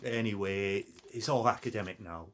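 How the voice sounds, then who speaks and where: A man's voice: on the low side, normal speed, fairly bright tone, close to an everyday voice. An actor with a Northern English accent playing a loyal, wry former pitman in a naturalistic radio play, plain-spoken and dryly humorous. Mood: wry, matter-of-fact.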